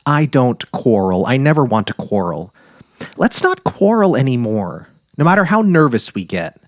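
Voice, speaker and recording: A man's voice: mid-range voice, very animated, normal speed, no echo, clean studio sound, lightly acted. A man voicing an anxious, pleading wife of a dying writer in a dramatic literary reading. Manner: pleading, nervous, insistent.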